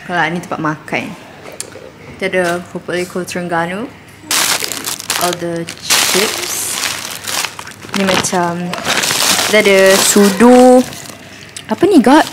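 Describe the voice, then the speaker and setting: A woman's voice: on the low side, unhurried, pauses often, background noise. A young adult woman with a Malaysian accent, chatting casually to her camera in a vlog. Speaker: vlogger. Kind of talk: casual vlog chat mixing Malay and English. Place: large wood-panelled resort house, indoors.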